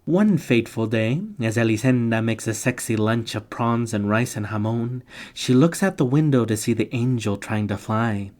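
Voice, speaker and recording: A man's voice: medium pitch, moderate pace, hardly any pauses, darkish tone. A man reading a scripted narration in a playful, tongue-in-cheek way, slipping slangy comic asides into it. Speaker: narrator of literature summary videos.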